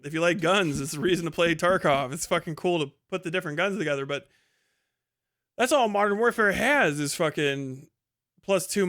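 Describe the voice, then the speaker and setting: A man with a fairly high, bright and forceful voice commentates casually on a livestream. He rambles through his opinions in blunt, slangy language and swears freely. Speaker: livestream host reacting to a video.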